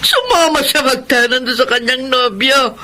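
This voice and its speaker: A woman's voice: high-pitched, animated, normal speed, bright, forceful tone. An actress playing a distraught, tearful, grieving mother in a Filipino radio drama. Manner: tearful, wailing. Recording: slightly noisy radio drama recording.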